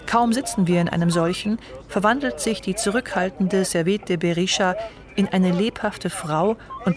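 A woman narrating a radio documentary in a calm, measured, scripted way. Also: lowish voice.

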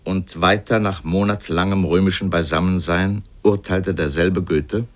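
Deep-voiced, ironic literary narrator played by a man. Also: steady tone, lightly acted. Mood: measured, reflective. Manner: deliberate, pointed, ironic. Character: erudite male literary narrator, sardonic and opinionated. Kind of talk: scripted literary radio essay.

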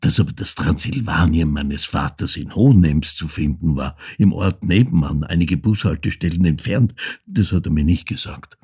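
An actor with an Austrian accent playing a haunted older man who recalls his childhood in a halting, intense dramatic monologue, his voice husky and gravelly. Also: rumbling low, mellow, exaggerated voice. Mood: pensive, confiding. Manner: halting, intense, dropping into near-whispers. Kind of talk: dramatic first-person literary monologue.

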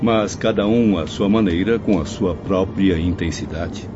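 An actor playing a pensive, questioning professor in a radio drama, measured and pedantic in delivery. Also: male voice, low voice, music in the background. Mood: pensive, regretful. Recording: dull lo-fi audio, clear background noise.

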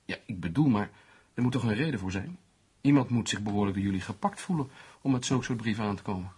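An actor playing a skeptical, teasing newspaper journalist who reasons things out in a sardonic way, in a Dutch radio play. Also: male voice, lowish voice, quick pace, a little mellow, slightly rough. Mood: pondering, analytical. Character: skeptical, teasing male newspaper journalist, analytical amateur sleuth.

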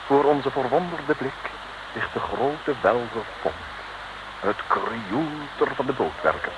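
An actor narrating an adventure serial in a florid, mock-solemn old radio storytelling style. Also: male voice, medium pitch, animated, takes it slowly. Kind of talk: scripted narration, 1950s-60s radio serial. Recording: very noisy old radio recording.

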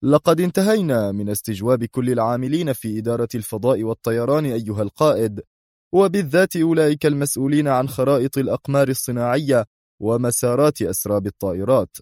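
A man's voice: medium pitch, fast, darkish tone, dry recording, clean studio sound, pitched above the performer's own voice, natural voice. A man voicing a stern defence minister in an audiobook, clipped and commanding. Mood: firm. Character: stern, sharp-tongued defence minister accusing a traitor.

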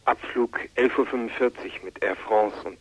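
A man's voice: medium pitch, moderate pace, breathy edge, no room sound, highs cut off, lightly acted. An actor playing an earnest, lovestruck, unsure teenage boy in a German radio play, speaking hesitantly and confidingly. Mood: subdued. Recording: noisy outdoor-like ambient sound behind.

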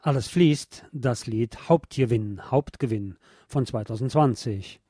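A man with a soft, mellow voice narrates a scripted music history feature as a radio host. The recording is very dry and close, with no room sound around him. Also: medium pitch, measured pace, husky, no echo.

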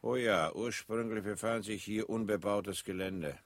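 An actor playing a simple, stolid coachman in an absurdist radio play, with a laconic, ponderous, deadpan way of talking. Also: lowish voice, unhurried, no room sound. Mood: solemn, laconic. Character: simple, stolid coachman, solemnly showing the sights. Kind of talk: 1960s German absurdist radio play acting.

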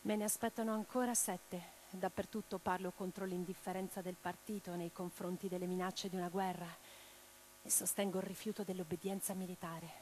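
A woman's voice: lowish voice, little pitch movement, fairly quick, darkish tone, a little hiss or hum, close to an everyday voice, low-quality recording. An actress playing an impassioned middle-aged socialist revolutionary woman in a theatrical radio drama. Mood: weary, subdued. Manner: declamatory in speeches, intimate in private moments.

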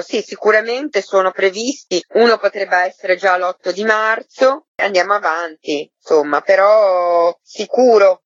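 A woman being interviewed on radio, thinking aloud in a halting, reflective way and often adding tag questions. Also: mid-range voice, no room sound, highs cut off. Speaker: interviewed artistic coordinator of a theatre artists' project.

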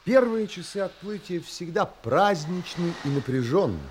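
An actor playing an earnest, determined young gentleman in a dramatised audiobook, with expressive, literary delivery. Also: sits high, normal speed, background noise. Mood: reflective narration. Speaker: actor narrating and playing the lead.

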